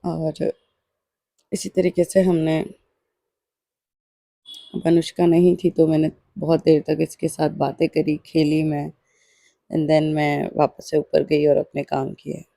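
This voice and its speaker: Female vlog narrator, reflective delivery; mellow tone with gravelly fry. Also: low-pitched, level tone, slow pace, pauses often, no echo. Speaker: daily vlogger. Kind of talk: personal vlog voice-over narration.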